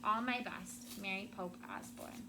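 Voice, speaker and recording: A woman's voice: mid-range voice, measured pace, background noise. A woman schoolteacher reading aloud and talking to children in a classroom, explaining in simple words and checking in with questions.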